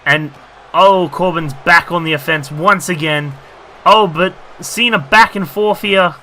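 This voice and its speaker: A man calling a video game wrestling match in a very bright, fairly high voice, full of "you know" fillers, jumping up in excitement when a big move lands. His voice is a little strained from talking so much, and a faint hiss sits under the recording. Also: a little slow. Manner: rambling commentary with many fillers, bursts of excitement at big moves. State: losing his voice. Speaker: gaming YouTuber commentating a wrestling game. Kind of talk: excited play-by-play commentary.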